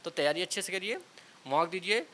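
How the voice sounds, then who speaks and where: A man talks as an exam coach to his students. His voice sits fairly high and is bright and forceful. He advises informally, often checking in with short tags, over an audible background hiss. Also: fairly quick. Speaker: exam coaching teacher and channel host.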